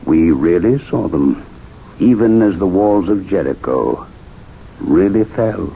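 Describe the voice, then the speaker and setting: A man's voice: sits low, animated, takes it slowly, creaky, exaggerated voice. An actor playing a learned club member who earnestly lectures his fellow members in a radio drama, recorded as a tinny old broadcast with faint hiss. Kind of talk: 1970s radio drama acting.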